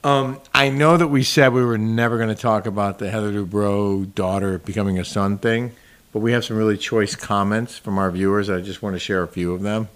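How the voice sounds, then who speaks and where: A man's voice: lowish voice, steady tone, a little fast. A middle-aged man hosting a casual chat show, plugging his channel and reading out viewer comments, laughing often. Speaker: show host.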